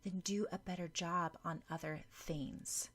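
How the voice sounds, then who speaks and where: A woman's voice: on the low side, steady tone, a little slow, a bit bright, husky. A woman voicing a haughty, scheming young woman in an audiobook, snooty and sly. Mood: impatient. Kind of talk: audiobook character dialogue.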